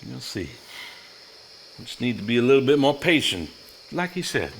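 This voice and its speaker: An actor playing a reassuring, earnest 1950s husband in a live stage play, speaking in a soothing, halting manner. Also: male voice, mid-range voice, sing-song, gravelly. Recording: live stage recording with faint noise.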